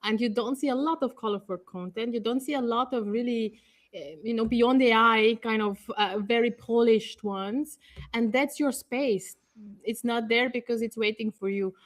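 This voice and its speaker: Woman panelist, slightly high voice, thoughtful and explanatory. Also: steady tone, normal speed. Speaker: co-host, thought leadership strategist. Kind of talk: casual panel conversation. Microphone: video call.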